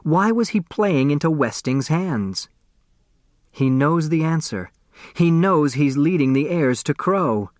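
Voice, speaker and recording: A man's voice: on the high side, animated, takes it slowly, dry recording, studio quality. A man reading a story aloud as a scripted audiobook narration.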